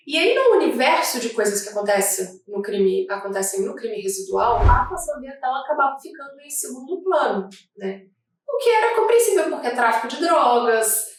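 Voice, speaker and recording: Woman speaking in an echoey room. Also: fairly high pitch. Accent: Brazilian Portuguese, Rio de Janeiro. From Brazil. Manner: measured, thoughtful, with frequent restarts. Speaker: federal prosecutor. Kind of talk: oral history interview. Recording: echoey room, mic at a distance.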